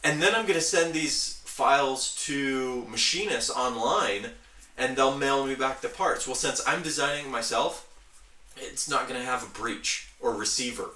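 A man's voice: mid-range voice, moderate pace, bright, forceful tone. A man chatting casually as a podcast host, explaining his hobby with nerdy enthusiasm. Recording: roomy, echoey, distant from mic.

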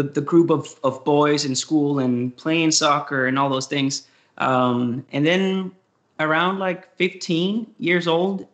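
A man's voice: on the high side, a bit bright. A young Danish man with a Danish accent, chatting loosely as an interview guest, full of fillers and restarts. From Denmark. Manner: rambling, hesitant, frequent fillers and laughs. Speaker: guest singer-songwriter. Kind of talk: casual interview chat.

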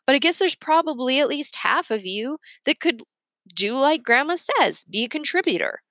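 Woman putting on an earnest, animated schoolboy. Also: sits high, normal speed, no echo, clean studio sound, voice pushed up, heavily acted. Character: earnest, eager, simple-hearted schoolboy.

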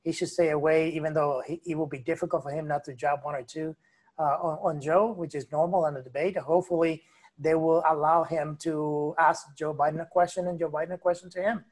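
A man's voice: fairly high pitch, fairly even intonation, brisk. A man speaking as a guest commentator on a political podcast, emphatic and opinionated. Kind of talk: casual political podcast talk.

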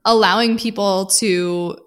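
A woman's voice: medium pitch, steady tone, unhurried, clean tone. Laid-back woman host, rambling questions with casual swearing. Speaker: podcast host. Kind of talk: casual interview.

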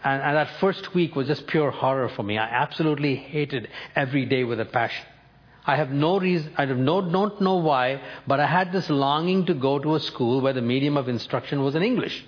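A man's voice: medium pitch, dull audio. Older Indian-born man preaching. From North India. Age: 70s. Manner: personal storytelling, measured with emphatic lifts. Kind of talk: sermon.

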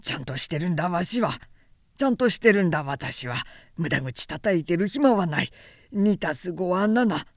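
A woman voicing a busy, gruff older businessman in a storybook reading, preoccupied and brusque. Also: fairly low pitch, animated, normal speed, dry recording, studio quality. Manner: brusque, preoccupied, muttering numbers.

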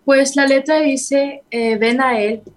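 Young woman, halting speech. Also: sits high, steady tone. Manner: halting, searching for words, apologizes for her Spanish. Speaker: singer and bassist of a worship band. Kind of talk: interview guest.

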